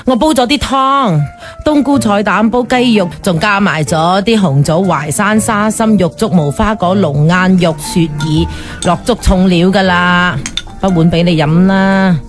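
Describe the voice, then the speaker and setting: Woman acting gossipy pushy sister-in-law in a radio drama, very emphatic, music clearly behind her. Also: mid-range voice, measured pace, natural voice. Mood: nagging, probing. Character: chatty, forthright sister-in-law, effusive and pushy.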